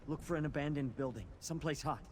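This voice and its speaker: A man's voice: fairly high pitch, quick pace, close to an everyday voice. A man playing a bitter, anxious cult initiate turned informant in a video game, grumbling and wary. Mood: wary, urgent. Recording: clear background noise of game ambience.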